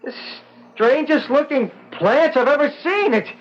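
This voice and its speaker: High, excitable male adventurer. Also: unhurried. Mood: curious. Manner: excitable, repeats his words. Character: stranded adventurer, curious and excitable, then terrified. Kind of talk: old-time radio drama acting. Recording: dull old radio recording, faint noise.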